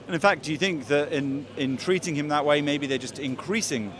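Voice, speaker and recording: A man reporting from an outdoor street rally and interviewing attendees in a measured, inquisitive way. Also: a little high, fairly bright tone. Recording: busy crowd noise outdoors. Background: crowd.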